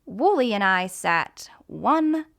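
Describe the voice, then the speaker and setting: A woman's voice: mid-range voice, sing-song, slow, gravelly, fairly bright tone. A woman narrating a scripted mystery story as an actor reading aloud. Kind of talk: scripted audiobook narration with character voices.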